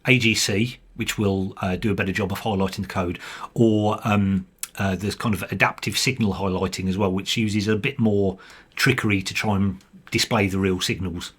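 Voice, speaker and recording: A man with a fairly low, husky voice gives an informal software demonstration. He explains things step by step as a developer would, often pausing mid-sentence to find the next phrase. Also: fairly even intonation.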